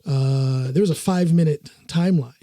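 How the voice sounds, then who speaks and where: A man hosting a casual podcast, easygoing and quick to laugh, often restarting his sentences. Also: fairly high pitch, mellow, no room sound, clean studio sound. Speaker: podcast host.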